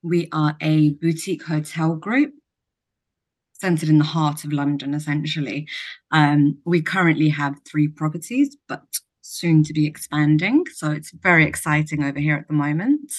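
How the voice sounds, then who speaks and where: A woman's voice: low-pitched, moderate pace. A young adult woman from Kosovo, a hotel general manager, answering podcast interview questions in a reflective way with frequent ums.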